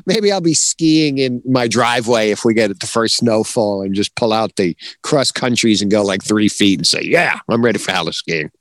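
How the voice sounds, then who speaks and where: A man's voice: lowish voice, lively intonation, normal speed, a bit bright, dry recording. A middle-aged man co-hosting a podcast in a casual, conversational style about writing. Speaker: podcast co-host. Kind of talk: casual chat about writing, with some reading aloud.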